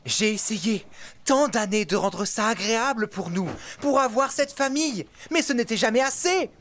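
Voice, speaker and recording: A woman's voice: on the high side, animated, normal speed, a little hiss or hum, natural voice. A woman voicing a theatrical male demon prince in a fan-dubbed adult cartoon. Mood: weary, resolute. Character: lovestruck, theatrical male demon prince, weary married father. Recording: music behind the voice.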